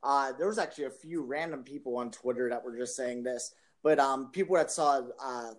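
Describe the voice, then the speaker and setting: A man's voice: medium pitch, brisk. A man chatting casually as a podcast panelist, explaining things in an informal way. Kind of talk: casual chat.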